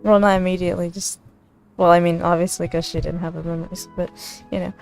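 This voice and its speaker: A woman giving casual, giggly, halting reactions as she streams a video game. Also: on the low side, flat delivery, gravelly, darkish tone, faint music behind. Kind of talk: casual live reaction commentary.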